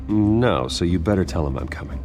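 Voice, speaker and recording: An actor playing a stern, determined billionaire with a firm, restrained delivery in a dramatic video game. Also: male voice, deep voice, lively intonation, fairly quick, a little mellow, faint music behind. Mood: resolute. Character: stern, determined billionaire man, secretly a vigilante.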